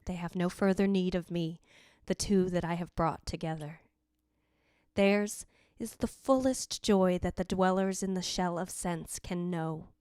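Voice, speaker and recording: A woman's voice: on the low side, unhurried, darkish tone, clear, resonant tone, no room sound, lightly acted. A remorseful ghost of a dead wife , played by a woman, tells her story in a dry, close sound. Her delivery is formal and lyrical, solemn and tender, in the manner of old-time radio drama. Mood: serene, exalted.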